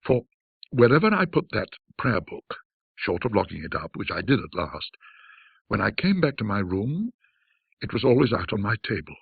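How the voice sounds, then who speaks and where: A man voicing a frightened, haunted man in a ghost story, agitated and halting as he confesses a terrible secret. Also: fairly low pitch, very animated, creaky, slightly rough, dry recording, narrow, tinny audio, exaggerated voice. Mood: haunted, confiding. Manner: agitated, hesitant, halting pleas.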